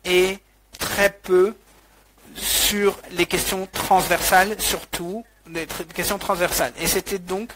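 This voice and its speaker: A man interpreting a webinar talk live, halting, with frequent restarts and fillers. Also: high voice, flat delivery, background noise.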